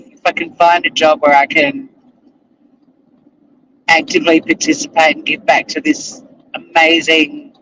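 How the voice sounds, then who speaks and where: A man's voice: a little high, pushed and bright, slight background noise, rough recording. A middle-aged Australian man vlogging casually from inside his car as he drives, rambling and self-deprecating, with casual swearing. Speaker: vlogger and lawyer. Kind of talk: casual chat to camera while driving. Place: inside a car while driving.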